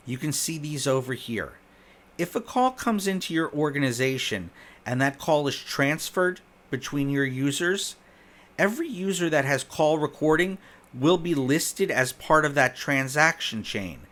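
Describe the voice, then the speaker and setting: Male software demo narrator, mid-pitched. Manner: conversational, step-by-step guiding. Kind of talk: instructional product walkthrough narration.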